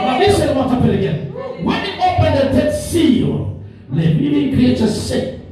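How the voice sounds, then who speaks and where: Preaching man in an echoey hall. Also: sits high. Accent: African English. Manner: preaching with rising declarations, repeated phrases. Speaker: pastor. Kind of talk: sermon. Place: church hall. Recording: echoey hall from far off with music.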